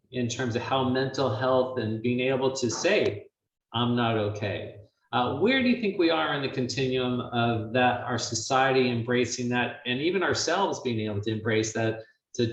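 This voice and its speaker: A man hosting a webinar conversation, asking questions in a reflective, halting way with many pauses. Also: mid-range voice, far from the mic. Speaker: webinar host. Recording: dull, roomy sound from off-mic, faint hiss.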